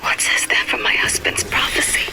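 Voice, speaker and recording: An actress playing a devout, betrayed wife in a video game, solemnly confessing in a hushed, halting audio diary. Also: female voice, whispered. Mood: anguished, bitter. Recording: noisy, clicking old radio-like recording.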